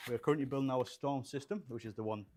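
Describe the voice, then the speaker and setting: A man's voice: medium pitch, fast, a little mellow, a little hiss or hum. A young man from England, raised there with Polish roots, chatting casually and rambling with fillers as he builds a PC and reads out live chat. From Poland, raised in England. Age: young adult. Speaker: livestream host building gaming PCs. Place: small studio room with shelves.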